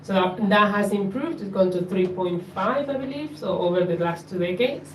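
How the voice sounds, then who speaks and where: A woman from Bolivia speaking up from the audience at an open panel, in an earnest, measured, slightly halting way. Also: medium pitch, slight background noise. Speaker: audience member. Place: community event room. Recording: echoey room, distant mic.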